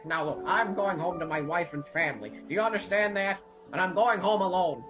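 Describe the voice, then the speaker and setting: A man's voice: high voice, fairly quick, soft background music, close to an everyday voice. Man playing a bewildered family man, muffled recording. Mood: distraught. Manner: stammering, incredulous, colloquial. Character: agitated, bewildered family man, impatient and down on his luck. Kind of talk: radio drama acting.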